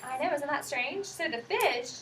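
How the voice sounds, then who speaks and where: A woman with a high-pitched voice leads an interactive picture-book reading for small children. She asks simple questions and affirms the answers. The recording is roomy and distant, with clear noise behind her. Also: expressive, normal speed, fairly bright tone. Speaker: student teacher reading aloud to kindergarten children. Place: echoey indoor room with children. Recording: roomy, noisy, distant microphone.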